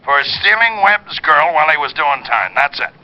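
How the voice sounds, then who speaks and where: A man's voice: fairly high pitch, big pitch swings, moderate pace. An American man playing a needling, matter-of-fact detective's pal in old radio drama. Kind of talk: 1940s-50s radio acting. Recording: dull vintage radio recording.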